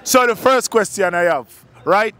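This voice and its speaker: A man's voice: high-pitched, expressive, normal speed, clear, resonant tone. A young man from Jamaica interviewing people on a city street at night with a handheld mic, playful and teasing. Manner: playful, teasing, loud exclamations. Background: crowd noise.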